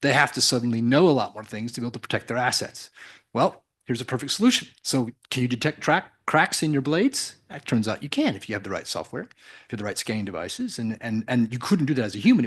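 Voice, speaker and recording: A man speaking quickly on a panel, his voice husky. He stresses his points and stumbles over repeated words as he gets going. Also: mid-range voice, very animated. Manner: fast, emphatic, repeats words when starting. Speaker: panelist at an industry forum. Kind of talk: conversational panel discussion.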